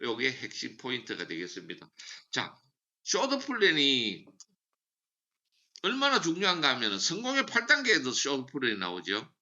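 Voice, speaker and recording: A man's voice: mid-range voice, wildly swooping pitch, moderate pace, bright, forceful tone, muffled recording. A man giving a motivational sales training lecture, emphatic and repeating key slogans. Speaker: seminar speaker for a sales business.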